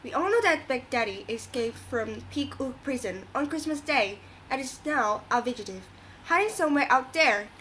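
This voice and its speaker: A woman's voice: high-pitched, animated, normal speed, a bit bright, slight background noise, low-quality recording. A woman playing an earnest, moralizing talk show host in a scripted radio drama, reading didactically.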